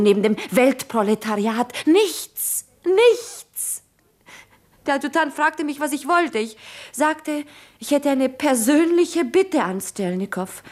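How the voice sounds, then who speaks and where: An actress playing an earnest, anguished wife in a 1950s German radio drama, intimate and fervent, with a husky, breathy voice. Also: female voice, a little high, darkish tone, lightly acted. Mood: bitter, resigned.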